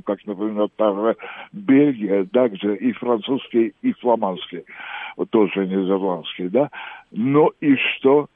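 A man's voice: fairly low pitch, no room sound. Man, radio caller, tinny phone line, dry sound, rambling, ornate phrasing, lots of hesitations. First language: Russian. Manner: digressive, ornate, many hesitation fillers. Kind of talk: call-in radio monologue.

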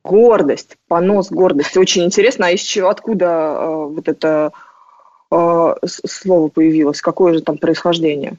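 A podcast host interviewing a guest and relaying listener questions with relaxed curiosity. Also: medium pitch, moderate pace, no room sound. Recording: dry, muffled lo-fi audio.

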